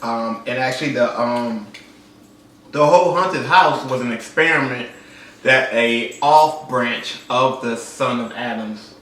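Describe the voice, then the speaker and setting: A young man co-hosting a casual TV review chat, playful and quick to laugh, repeating his lines. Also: medium pitch, a bit bright, reverberant, distant mic, a little hiss or hum, poor audio. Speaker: co-host reviewing a TV episode.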